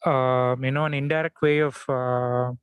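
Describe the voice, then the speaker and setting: A man's voice: mid-range voice, flat delivery, clear, resonant tone, no echo. Man asking a question, dry sound. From India. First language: Tamil. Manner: polite, deliberate, with fillers. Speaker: audience member asking a question.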